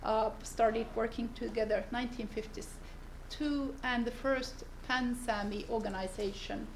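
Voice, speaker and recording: Brief: woman from northern Finland, seminar speaker, gravelly and fairly high voice, unhurried delivery with searching pauses. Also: steady tone, slight background noise, poor audio. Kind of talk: seminar presentation. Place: seminar room.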